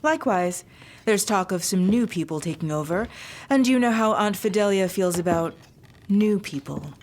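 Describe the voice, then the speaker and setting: A woman's voice: mid-range voice, animated, normal speed, a little mellow, slight background noise, lightly acted. An actress playing a sweet, genteel, sociable young noblewoman in an audio drama. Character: genteel, chatty young noblewoman doting on her dog.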